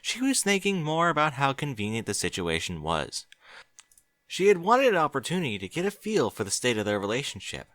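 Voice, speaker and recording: A man narrating a fan fiction audiobook in a steady storytelling manner. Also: mid-range voice, a little fast, no echo, studio quality.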